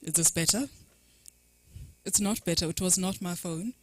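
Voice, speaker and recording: A soft-spoken woman opening a workshop session in a conference room, hesitantly checking whether her handheld mic is working. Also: fairly low pitch, moderate pace. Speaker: workshop organiser. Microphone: handheld microphone with a PA system.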